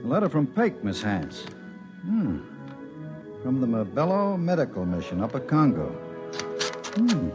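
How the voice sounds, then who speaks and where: An actor playing a skeptical, exasperated but indulgent chief in a 1950s radio drama. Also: male voice, mid-range voice, wildly swooping pitch, unhurried, pauses often, muffled recording, loud music behind the voice. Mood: grudging, curious.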